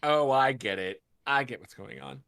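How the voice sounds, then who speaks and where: A man's voice: medium pitch, normal speed, gravelly, pushed and bright, clean tone. A man reading stage directions at a casual table read, cutting in with wry, sarcastic asides.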